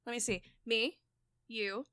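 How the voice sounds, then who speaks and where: A chatty woman hosting a podcast, her voice bright and forceful and a little higher than most. She talks loosely, trailing off and restarting, and laughs easily. The sound is dry, with no room around it. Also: fairly even intonation, takes it slowly, dry recording. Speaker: podcast host and show creator. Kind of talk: casual podcast conversation.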